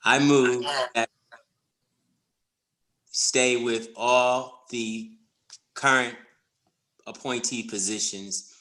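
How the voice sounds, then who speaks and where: A man's voice: medium pitch, steady tone, extremely slow, pauses often, bright, forceful tone, poor audio. A man who is a board member, speaking in a formal meeting over a video call.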